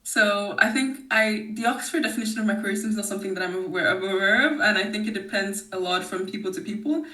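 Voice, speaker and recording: A woman from Nigeria, a podcast guest talking in a lively, expressive way and laughing often. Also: mid-range voice, fast, few pauses. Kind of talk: casual conversation. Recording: roomy, distant mic.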